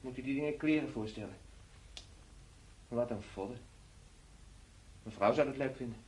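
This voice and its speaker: Actor as muttering scavenger, faint noise. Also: male voice, medium pitch, moderate pace, a little mellow, lightly acted. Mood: wary. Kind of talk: radio drama dialogue.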